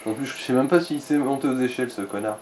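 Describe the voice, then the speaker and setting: A man's voice: mid-range voice, quick pace, a little mellow, slight background noise. A man making wry, slangy remarks as co-host of a casual video game playthrough. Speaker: let's play co-host. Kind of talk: casual gaming commentary with a co-player.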